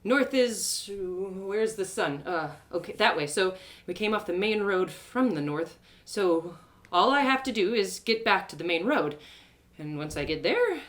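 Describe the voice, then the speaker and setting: Woman acting a melodramatic young adventurer heroine, swooping pitch. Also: animated, unhurried, a bit bright. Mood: flustered. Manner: dramatic, exclaiming, stammering in distress. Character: naive, stranded young female adventurer, melodramatic, plucky, betrayed. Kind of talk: first-person audio drama storytelling.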